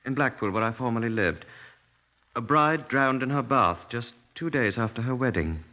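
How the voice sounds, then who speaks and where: A man's voice: mid-range voice, expressive, normal speed, lightly acted. A British man playing an earnest, suspicious witness in a 1950s radio drama, polite but insistent. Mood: earnest, uneasy. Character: earnest, suspicious male witness visiting police. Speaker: actor in a radio crime drama. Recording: dull, muffled 1950s radio recording.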